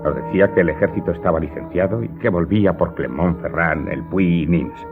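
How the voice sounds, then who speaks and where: A man's voice: low-pitched, fairly quick. An actor playing an aggrieved servant earnestly telling his story to his master in a radio drama. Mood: earnest, grave recounting. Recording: muffled narrow-band audio drama recording. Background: loud music.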